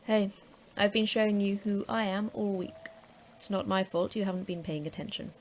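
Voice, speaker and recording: A woman playing a terse female manager of a secret base, speaking in a deadpan, sardonic manner in an audio drama. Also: mid-range voice, normal speed, a little hiss or hum, lightly acted, poor audio.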